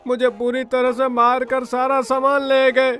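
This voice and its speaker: A man acts out a scheming cartoon servant with a high, pained whine. He pleads and stretches his words as if injured, over faint background music. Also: completely flat delivery, a bit bright. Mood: whining in pain. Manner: whining, drawn-out, pleading. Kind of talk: Hindi moral-story cartoon dialogue.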